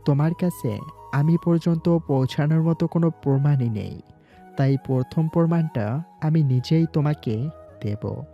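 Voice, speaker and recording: A man's voice: on the high side, measured pace, creaky, dull audio. A man playing a young university student who is secretly a gangster boss in an audio story, with music underneath. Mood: cool, scheming. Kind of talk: Bengali audio story acting.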